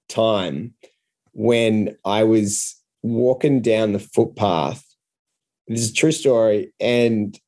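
A man's voice: on the low side, warm tone. An Australian man with an Australian accent, a podcast guest and comedian telling anecdotes in a casual, conversational style.

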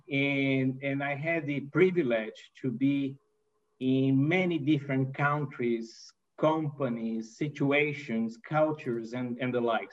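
A man's voice: medium pitch, distant mic, muffled recording. A man in his fifties from Brazil with a Brazilian Portuguese accent, a non-native English speaker and manufacturing executive, answering interview questions hesitantly with many fillers and restarts.

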